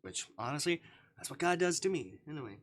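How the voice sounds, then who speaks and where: A man's voice: a little high, lively intonation, fairly quick, a bit bright. A man live streaming, expressively reading a visual novel aloud with casual asides and stumbles as he skims.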